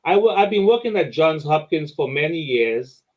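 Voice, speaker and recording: Give me an eager Dominican host telling a story, on a dull, muffled recording. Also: male voice, fairly high pitch, lively intonation, normal speed. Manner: eager storyteller, repeats words. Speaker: interview host. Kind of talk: animated anecdote in conversation.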